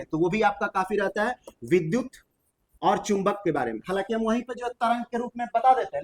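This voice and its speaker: Male coaching teacher, high voice, Bihari accent. Informal, emphatic lecturing with plenty of repetition. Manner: emphatic, repetitive, with colloquial tag phrases.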